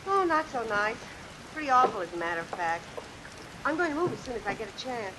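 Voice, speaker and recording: An actress playing an earnest, guarded young American secretary, hesitant and polite, in old-time radio suspense style. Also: female voice, on the high side, sing-song, fairly quick. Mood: weary, disgusted. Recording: vintage radio recording, hiss and heavy rain. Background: rain sounds added over the broadcast.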